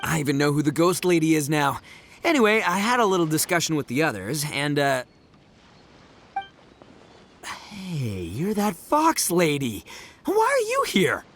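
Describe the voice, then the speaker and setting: An actor voicing a brash, boastful, big-hearted young oni in a video game, loud, bombastic and cocky. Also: male voice, fairly high pitch, wildly swooping pitch, moderate pace, a little hiss or hum. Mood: brash, surprised.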